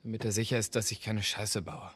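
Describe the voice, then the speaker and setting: A husky, low, withdrawn boy survivor. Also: little pitch movement, a little fast, fairly bright tone. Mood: guarded, sullen. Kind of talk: German video game dubbing, naturalistic dialogue.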